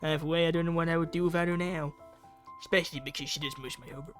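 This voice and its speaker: Male gamer narrator, slightly high voice, theatrical read with casual asides, over background music. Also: fairly even intonation, very fast, a bit bright. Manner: theatrical reading, breaks into offhand asides. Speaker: video game player reading aloud. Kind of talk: ASMR-style visual novel read-through with asides.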